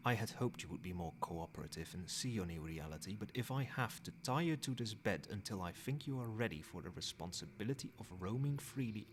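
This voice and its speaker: A man playing a possessive, controlling old vampire in a soft-spoken, measured ASMR roleplay. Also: fairly low pitch, a little hiss or hum. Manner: measured, formal, commanding.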